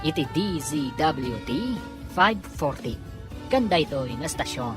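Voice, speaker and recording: A woman with an Ilocano accent narrating and announcing a radio drama in a measured way. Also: low-pitched, sing-song. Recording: radio studio voice over clear music.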